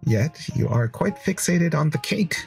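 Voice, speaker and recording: A man voicing a wise, calm counselor in a visual novel, speaking in a measured, formal, probing way. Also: fairly high pitch, vocal fry, soft background music, pitched above the performer's own voice. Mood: analytical.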